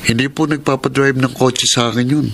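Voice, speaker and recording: A man's voice: mid-range voice, steady tone, fairly quick, a bit bright, slight background noise, lightly acted. Humble, earnest reformed ex-convict, acted by a man. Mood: humble, confiding. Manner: polite, earnest, deferential. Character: humble, repentant male ex-convict working as family driver. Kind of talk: Filipino melodrama audio acting.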